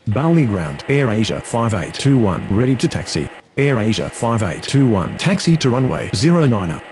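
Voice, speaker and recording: Casting: male actor as game aviation radio voice, animated intonation, formulaic phraseology, slight background noise. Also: medium pitch, moderate pace, lightly acted. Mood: procedural. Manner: formulaic, procedural. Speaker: air traffic radio voice.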